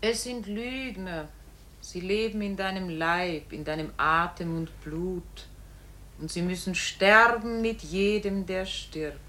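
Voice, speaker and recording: An actress playing a calm, otherworldly inner voice that summons a man, solemn and measured, in a radio play. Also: on the low side. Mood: solemn, assured. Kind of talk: German radio play acting. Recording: noisy old radio play recording.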